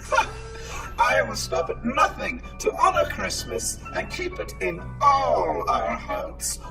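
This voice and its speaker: A distant-sounding old half-mechanical villain, bombastic and menacing. The gravelly voice swings wildly in pitch with grandiose theatrical flourish, over loud music in an audio drama. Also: male voice, wildly swooping pitch, slow pace, heavily acted. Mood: bitter, bombastic. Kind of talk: audio comedy drama acting.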